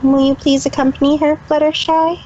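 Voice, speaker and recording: A woman's voice: high-pitched, level tone, a little fast, dark tone, lo-fi sound, a little hiss or hum, natural voice. A woman voicing a proud, easily flustered cartoon pony magician who talks about herself in the third person, in a fan fiction reading. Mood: guilty, resolute. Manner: self-important third-person speech.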